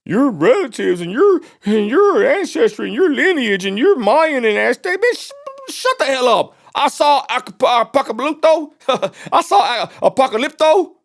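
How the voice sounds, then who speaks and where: A man's voice: extremely high pitch, big pitch swings, measured pace, gravelly. A man from Texas with a West Texas accent, hosting a livestream in a blunt, profane ranting style. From Texas, USA. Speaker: livestream host. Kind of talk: casual ranting livestream commentary.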